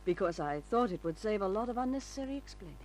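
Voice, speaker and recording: An actress playing a guarded femme fatale in an old-time radio crime drama, curt, wary and poised. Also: female voice, mid-range voice, lively intonation, fast talker, a little mellow, soft background music, lightly acted. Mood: cool, evasive. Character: cool, guarded femme fatale brunette woman. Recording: noisy humming old radio recording.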